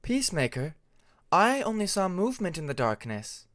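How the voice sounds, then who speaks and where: A man voicing a quiet, kind young female sea dragon in an audiobook, gentle and apologetic. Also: high-pitched, big pitch swings, normal speed, no echo, studio quality, voice pushed up. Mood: puzzled.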